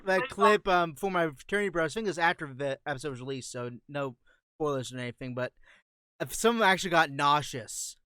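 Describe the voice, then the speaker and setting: A young man with a bright, smooth and slightly high voice. He chats casually on a voice call, joking and restarting his thoughts as he goes. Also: a little fast, no echo, studio quality. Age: young adult. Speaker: voice actor. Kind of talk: casual chat. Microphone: online voice call.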